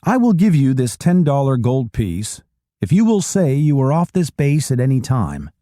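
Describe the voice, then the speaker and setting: A man voicing an earnest older army doctor who questions a soldier in a formal, measured way. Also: mid-range voice, big pitch swings, dark tone, no echo, studio quality, lightly acted. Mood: probing.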